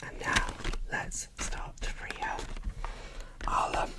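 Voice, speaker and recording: A British ASMR creator giving a casual, chatty unboxing commentary in a soft whisper. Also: slow pace.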